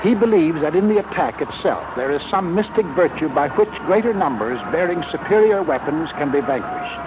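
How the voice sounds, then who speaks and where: An American man narrating a wartime propaganda film in a scripted, grave, authoritative and measured delivery. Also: a little high, expressive, very noisy. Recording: muffled 1940s film soundtrack, loud music. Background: loud film score.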